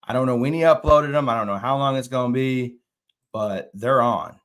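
A man's voice: medium pitch, normal speed, a bit bright. A chatty man in his thirties with a drawl hosts a casual fishing live stream. He reads viewer comments aloud and talks back to them, recorded on a desk webcam in a plain indoor room. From Texas. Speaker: fishing channel host.

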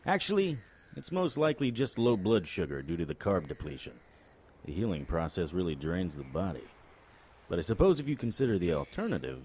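An actor playing a cocky, unflappable supernatural private investigator in an audio drama, full of wry, flippant banter. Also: male voice, deep voice, big pitch swings, brisk, a little mellow, a little hiss or hum. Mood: wry, dry.

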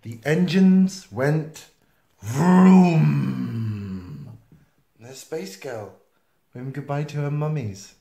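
A man reading a children's picture book aloud in an expressive, playful manner. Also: fairly high pitch, gravelly. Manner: expressive, slow, with playful sound effects and asides. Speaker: storytime reader. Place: small indoor room, seen close to the camera. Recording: roomy, echoey home video sound.

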